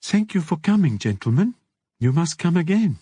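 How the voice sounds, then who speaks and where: A man voicing a brisk female brothel madam from an audiobook, courteous but pointed and full of questions. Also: on the high side, big pitch swings, warm tone, husky, dry recording, voice pushed up. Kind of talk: audiobook character dialogue.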